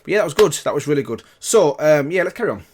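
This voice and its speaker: Excitable man, lively swooping pitch. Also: racing, slight background noise. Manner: excitable, repeats short phrases. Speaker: reactor reviewing game cutscenes. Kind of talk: casual reaction commentary. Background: faint game music.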